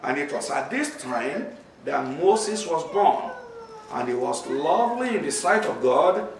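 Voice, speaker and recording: A man with a Nigerian accent preaching a sermon, speaking slowly and distinctly and reading scripture aloud. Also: on the high side, fairly bright tone, a little hiss or hum. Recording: echoey room, from far off.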